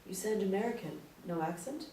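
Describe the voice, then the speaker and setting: A woman's voice: medium pitch, expressive, brisk, dark tone, lightly acted. A woman playing a tough, sardonic young spy in a screenplay read, with a dry, cutting manner. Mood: wary. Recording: echoey room, distant mic, faint noise.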